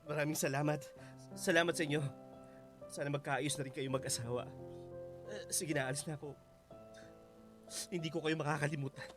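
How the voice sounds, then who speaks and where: An actor playing a guilt-ridden fugitive father in a Filipino radio drama, halting and emotional. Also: a little high, fairly even intonation, over music, natural voice. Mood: grateful, urgent. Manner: halting, repeats phrases, emotional.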